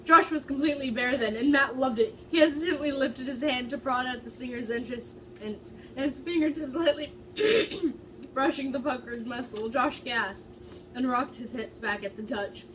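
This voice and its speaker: Female reader, high-pitched and giggly, dramatic amateur storytelling with stumbles, recorded away from the mic. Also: normal speed, a bit bright. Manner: giggly, stumbling over words. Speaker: fan fiction reader. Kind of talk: dramatic reading aloud of fan fiction, with casual giggly asides. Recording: distant mic with faint background noise.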